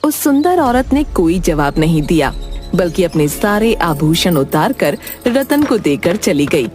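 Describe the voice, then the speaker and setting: A woman's voice: lowish voice, sing-song, measured pace, a little mellow, soft background music. A woman narrator reading a scripted story in storytelling style.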